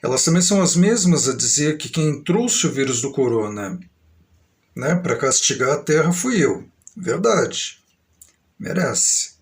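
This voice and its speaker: Middle-aged São Paulo man, slightly high voice, grandiose and aggrieved, rambling to camera. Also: big pitch swings, a little slow. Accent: São Paulo Brazilian Portuguese. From Brazil. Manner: grandiose, aggrieved, meandering with uneven pace.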